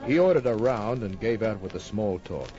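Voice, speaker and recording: An actor playing a wry, tough private detective narrating his case in an old-time radio drama, deadpan and wisecracking. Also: fairly low pitch, normal speed, close to an everyday voice. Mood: wry, matter-of-fact. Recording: muffled old radio recording with music.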